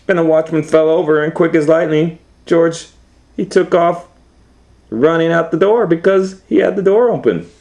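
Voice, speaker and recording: A man reading a story aloud to young children in a dramatic, loosely retold way, moving between hushed asides and excited swells. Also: on the high side, gravelly. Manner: dramatic, hushing to near whispers and swelling with excitement. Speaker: storytime host and reader.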